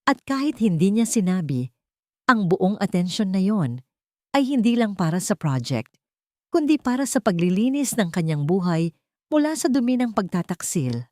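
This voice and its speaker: A woman's voice: mid-range voice, big pitch swings, moderate pace, warm tone, dry recording, studio quality. A woman narrating a scripted dramatic story as a storyteller.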